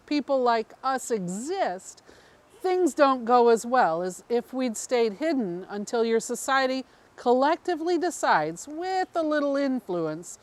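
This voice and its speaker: Wry, high-voiced undercover alien woman, read by a woman. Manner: explanatory, wry, matter-of-fact. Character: undercover alien woman, devoted mother, earnest planetary outreach agent. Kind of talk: first-person story reading.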